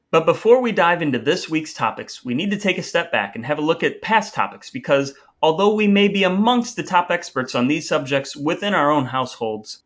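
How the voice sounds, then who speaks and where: A man's voice: a little high, fairly bright tone. A man hosting a podcast in a conversational, partly scripted style, with a wry, wordy, mock-formal way of talking. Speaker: podcast host.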